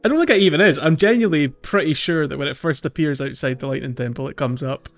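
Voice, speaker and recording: A man's voice: fairly high pitch, fast talker, dull audio, soft background music. A man co-hosting a podcast, bantering casually about a video game. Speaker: podcast co-host.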